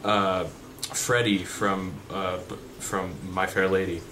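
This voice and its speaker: A young man with a deep voice chatting unscripted in a vlog, rambling with fillers and restarts. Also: flat delivery, takes it slowly. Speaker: vlogger. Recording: background noise.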